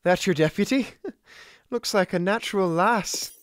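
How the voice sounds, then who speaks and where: An actor playing a cocky, menacing gunslinger in an audio play, with a taunting, preachy manner. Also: male voice, sits high, measured pace, smooth, no room sound, clean studio sound. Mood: mocking. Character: cocky, menacing God-invoking male Wild West outlaw gunslinger.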